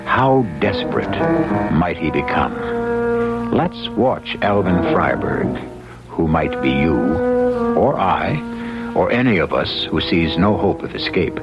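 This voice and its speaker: A man gives a theatrical radio drama introduction. His voice is deep and slow, with sweeping intonation. The old recording sounds dull, with music underneath. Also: very animated. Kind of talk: grave, theatrical scripted introduction.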